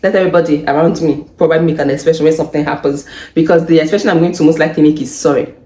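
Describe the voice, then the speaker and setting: A young Nigerian woman with a low voice talks through her advice in a relaxed, chatty way. She laughs easily and circles back to repeat her points. The sound carries a noticeable room echo. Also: racing. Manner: chatty, laughs often, repeats herself for emphasis. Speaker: vlogger. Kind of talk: casual advice vlog. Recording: echoey room.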